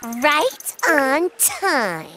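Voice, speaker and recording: A woman's voice: high voice, huge pitch swings, drawn-out words, sharp tone, exaggerated voice. A woman voicing a theatrical, hot-headed rabbit-like villainess in a video game, gleeful and dramatic. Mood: gloating. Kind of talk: scripted video game cutscene. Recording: clear background noise.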